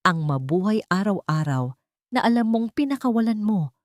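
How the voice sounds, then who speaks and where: A woman's voice: medium pitch, very animated, normal speed, no echo, clean studio sound. A woman reading a scripted dramatic story as an audiobook narrator.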